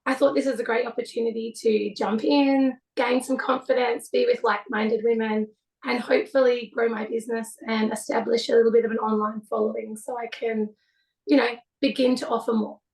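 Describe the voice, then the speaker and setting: A woman with a slightly croaky voice, a newly graduated yoga teacher, introducing herself a little nervously and hesitantly. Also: fairly high pitch, flat delivery, a little fast, a little mellow, rough recording.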